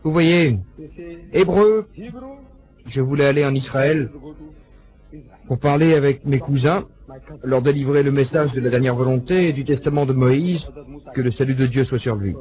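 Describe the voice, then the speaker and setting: A man voicing a lecture in a translated voice-over, telling anecdotes with animated delivery and acting out the quoted dialogue. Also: mid-range voice, moderate pace, pauses often, low-quality recording.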